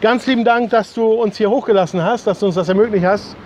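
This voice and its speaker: A man's voice: high-pitched, fast, a little mellow, slight background noise. A middle-aged or older man hosting a casual vlog, chatting to camera and often repeating his words. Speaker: vlog host. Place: outdoor rooftop terrace by the sea. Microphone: camera a few steps away.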